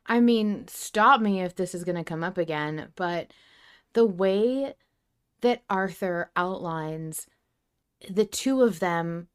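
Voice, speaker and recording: A woman's voice: lowish voice, takes it slowly, smooth. A woman around her thirties co-hosting a casual fan discussion podcast, speaking earnestly and emphatically in bursts. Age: 30s. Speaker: podcast co-host.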